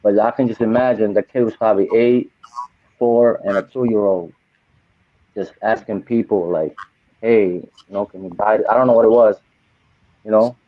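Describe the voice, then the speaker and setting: A man's voice: lowish voice, steady tone, normal speed, pauses often, dry recording. A man in his forties chatting casually on a podcast, loose and heartfelt, peppering his talk with "you know". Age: 40s. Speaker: podcast co-host and trip volunteer. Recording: dry, tinny phone-like sound.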